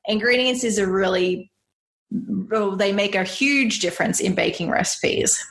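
A woman's voice: mid-range voice, a little slow, vocal fry, a bit bright. A woman hosting a cooking podcast, chatty and bubbly, laughing often between points. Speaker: podcast host. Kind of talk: casual podcast chat.

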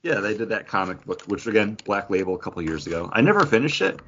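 A man's voice: lowish voice, big pitch swings, quick pace, a bit bright, a little hiss or hum. A man chatting casually as a podcast co-host, opinionated and casually profane. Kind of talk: casual chat. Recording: dull lo-fi audio.

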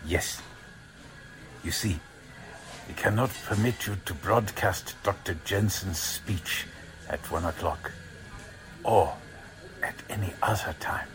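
An actor playing a coldly polite Nazi agent in a radio drama, with a German accent and formal, deliberate, threatening phrasing. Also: male voice, low voice, a bit bright, poor audio. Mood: quiet menace. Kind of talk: 1940s radio drama acting.

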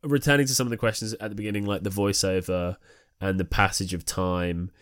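A man's voice: deep voice, steady tone, a little fast, fairly bright tone, dry recording, studio quality. A man co-hosting a podcast, talking casually through creative ideas for staging a play. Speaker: podcast co-host.

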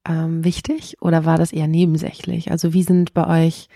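Eine Frau als Podcast-Gastgeberin, die ruhig offene Fragen stellt und nachhakt. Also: female voice, low voice, a little fast, no room sound, studio quality. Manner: calm, asks open prompting questions. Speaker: podcast host. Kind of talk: interview questions.

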